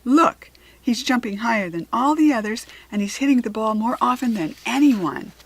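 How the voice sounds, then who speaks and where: A woman's voice: fairly high pitch, expressive, normal speed, breathy edge. A woman as an earnest, determined young girl. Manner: emphatic, firm, eager. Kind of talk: children's storybook character reading.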